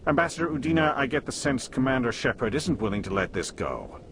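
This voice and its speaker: Animated, formal male councillor. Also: medium pitch, fast, slight background noise. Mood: dismissive. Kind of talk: video game acting.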